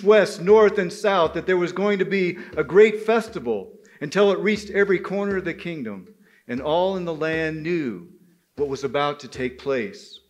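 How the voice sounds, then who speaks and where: A man telling a folktale live, emphatic and speaking directly to his listeners. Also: high-pitched. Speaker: storyteller at a men's gathering.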